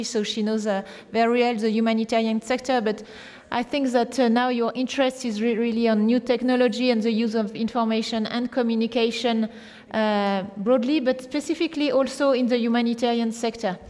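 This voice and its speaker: A woman's voice: a little high, steady tone, brisk, hardly any pauses, darkish tone. A woman opening a conference round table and introducing its moderator, hesitant and full of fillers. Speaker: conference host introducing the panel. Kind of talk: formal opening remarks. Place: large conference hall. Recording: echoey hall with long reverb.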